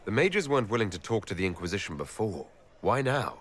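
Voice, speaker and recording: Frank elven hero, lively intonation. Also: male voice, fairly low pitch, brisk. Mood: questioning. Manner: direct, questioning. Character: young, frank elven hero leading an inquisition. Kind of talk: video game dialogue.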